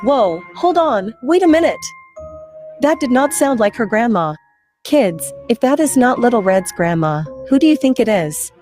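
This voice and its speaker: A woman's voice: fairly high pitch, lively intonation, normal speed, a little mellow, soft background music. A woman narrating an interactive children's story, playful and conversational, speaking directly to the kids.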